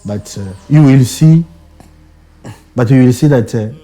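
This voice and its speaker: Very dark-toned young Congolese man, slow. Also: medium pitch. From Democratic Republic of the Congo. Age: young adult. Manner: hesitant, searching for words, repeats phrases. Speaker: Benedictine monastic brother, interviewee. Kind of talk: reflective personal interview. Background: background music.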